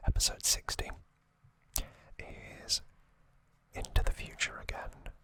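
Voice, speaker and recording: Breathy whisper, ASMR narration, very slow pace, crisp sibilant brightness, close microphone. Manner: slow, unhurried, gently repeats words for effect. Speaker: host of an ASMR trivia channel. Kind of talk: whispered ASMR narration, summarizing an anime saga.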